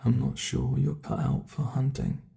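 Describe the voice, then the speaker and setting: A man playing a sadistic monster from under the bed, toying with human prey in a horror ASMR roleplay, slow, taunting and theatrical, with a creaky voice. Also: medium pitch, little pitch movement, warm tone. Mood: coaxing, condescending.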